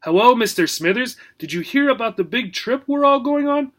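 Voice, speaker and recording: Man as a high-voiced, eager little girl gerbil. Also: animated, normal speed, a bit bright. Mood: cheerful, polite. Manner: chirpy, eager, friendly. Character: polite, eager, cheerful little female gerbil. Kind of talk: children's storybook reading.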